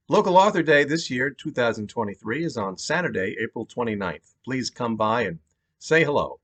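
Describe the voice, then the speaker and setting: An American man narrates his own fiction aloud as an author. His pitch is middling but moves widely, and his voice has a slightly rough, husky grain. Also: fairly bright tone. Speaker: author reading own novel. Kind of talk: scripted narration, reading aloud. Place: home basement.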